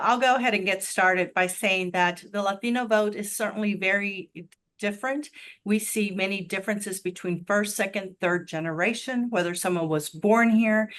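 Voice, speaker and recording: A woman's voice: medium pitch, a bit bright. A woman from Houston speaking confidently on a virtual panel, in a polished, speech-like way. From Houston, Texas. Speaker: business chamber president. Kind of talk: panel discussion over video call. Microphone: video call.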